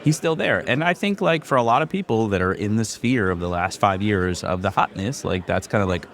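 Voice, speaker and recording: A man's voice: lowish voice, animated, fairly quick, fairly bright tone. A man hosting a podcast in loose, rambling casual chat, full of "like" fillers. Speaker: podcast host.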